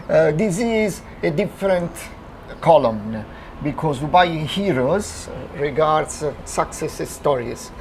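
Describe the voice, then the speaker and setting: Gravelly Italian-native male host. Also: a little high, animated. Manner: halting, laughs often, restarts. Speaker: interviewer, host. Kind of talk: casual interview. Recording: faint ambient noise.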